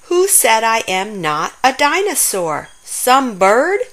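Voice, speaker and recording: A woman's voice: fairly high pitch, very animated, slow pace, a bit bright, exaggerated voice. A woman voicing a feisty, indignant little pterodactyl girl who protests, in a children's storybook.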